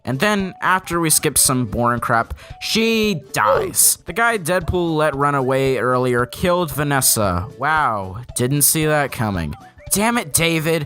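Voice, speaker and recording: A young man narrating a scripted comedy movie review, sarcastic and deadpan, often jumping up in pitch for a joke. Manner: sarcastic, deadpan jokes with sudden pitch jumps.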